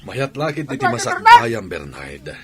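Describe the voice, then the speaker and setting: A man's voice: medium pitch, wildly swooping pitch, a little slow, a crowd in the background. A man playing an encouraging, approving sports mentor in an audio drama. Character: encouraging adult male sports mentor, addressed as sir. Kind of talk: Filipino radio-style drama acting.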